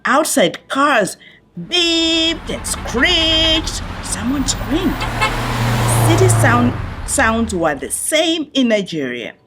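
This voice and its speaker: Slow, animated woman reading a children's story. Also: sits high, noisy background. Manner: deliberate phrasing with dramatic pauses for young listeners. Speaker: children's book reader. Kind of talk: expressive picture-book read-aloud.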